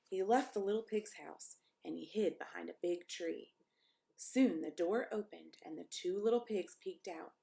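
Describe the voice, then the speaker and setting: A woman's voice: on the low side, normal speed, creaky, dark tone. Woman reading a children's storybook aloud. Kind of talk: expressive storybook read-aloud with asides to young listeners.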